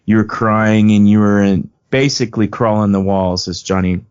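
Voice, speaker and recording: A man's voice: on the low side, level tone, moderate pace, darkish tone, clear, resonant tone. A man co-hosting a podcast, asking long, thoughtful, winding questions about songwriting. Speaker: podcast co-host. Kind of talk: interview questions. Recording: dull podcast audio.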